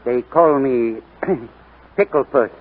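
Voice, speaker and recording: An actor playing an awkward, kindly, grumbling superintendent, speaking haltingly in 1940s radio style. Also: male voice, mid-range voice. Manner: halting, hesitant. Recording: noisy, tinny 1940s radio recording.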